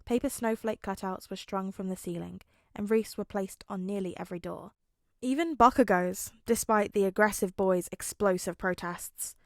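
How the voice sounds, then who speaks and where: A woman's voice: mid-range voice, moderate pace, no echo, clean studio sound. A woman reading a fan story aloud as an audiobook, narrating expressively and voicing the dialogue. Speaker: podfic reader.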